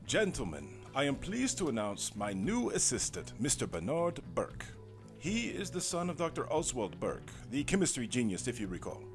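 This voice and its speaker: A man's voice: medium pitch, huge pitch swings, normal speed, soft background music. A man playing a pompous, self-assured doctor in a comic audio drama, speaking formally and declaiming.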